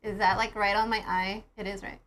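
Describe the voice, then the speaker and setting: A woman's voice: mid-range voice, flat delivery, fairly quick, fairly bright tone, slight background noise. A young woman chatting informally and casually to viewers on a live game stream, with an easygoing sweary, giggly manner. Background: game music.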